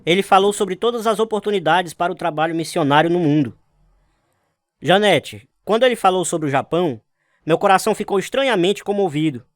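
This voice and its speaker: An actor playing an earnest missionary husband with a Brazilian accent, telling his life story in a radio drama with sincere, measured delivery. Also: high voice, animated, no echo. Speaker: narrator and lead character. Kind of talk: dramatized radio testimony, scripted narration and dialogue.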